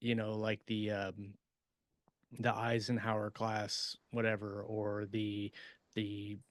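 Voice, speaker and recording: A man co-hosting a podcast in casual chat, thinking aloud in a halting, tentative way. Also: on the low side, completely flat delivery, no room sound, clean studio sound. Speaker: podcast co-host, science fiction author. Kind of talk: casual chat about writing craft.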